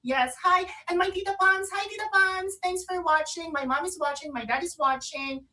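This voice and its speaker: High-voiced woman, distant from the mic. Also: normal speed, sharp tone. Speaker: online radio show co-host. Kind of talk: casual live talk show chat.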